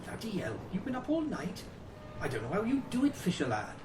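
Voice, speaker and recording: Man playing a grumbling, animated British soldier. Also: high voice, a little fast, over music. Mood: weary, grumbling. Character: weary, grumbling British trench soldier, male, sardonic. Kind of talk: dramatic dialogue in an audio play. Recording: roomy, distant, clearly noisy recording.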